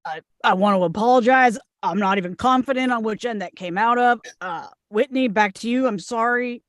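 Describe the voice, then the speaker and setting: A woman acts a crude, brash bridesmaid from a comedy script. She is blunt and emphatic, in a fairly high voice recorded close and dry. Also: moderate pace, no echo, lightly acted. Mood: crude, unbothered. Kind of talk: screenplay table read.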